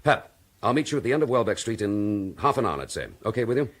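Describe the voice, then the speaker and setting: Very deep, husky British police detective. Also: male voice, expressive, fast talker. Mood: brisk, urgent. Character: determined, dryly courteous male police detective under suspicion. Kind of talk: British radio drama acting.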